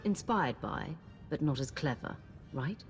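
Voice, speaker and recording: An actress playing a confident, wry warrior leader in a sci-fi game, with a dry, commanding delivery. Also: female voice, low-pitched, animated, a little slow, faint music behind. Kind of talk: video game voice acting.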